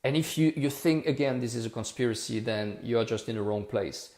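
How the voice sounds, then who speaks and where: A man's voice: mid-range voice, fairly quick, a little mellow, echoey room. A man of forty, talking heatedly and repeating himself on a casual livestream. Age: 40s. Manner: impassioned, repetitive, rhetorical. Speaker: livestream host.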